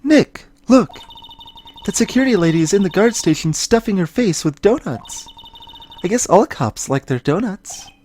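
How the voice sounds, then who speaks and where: A woman voicing an excitable, eager young female sidekick in a video game, full of exclamations. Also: mid-range voice, moderate pace, slight background noise, lightly acted. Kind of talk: voiced game dialogue.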